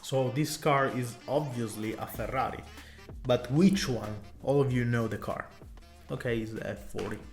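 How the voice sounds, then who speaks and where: A man's voice: mid-range voice, moderate pace, soft background music. A man from Italy with an Italian accent, chatting enthusiastically to camera about cars in loose non-native English. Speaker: car vlogger. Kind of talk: casual talk to camera.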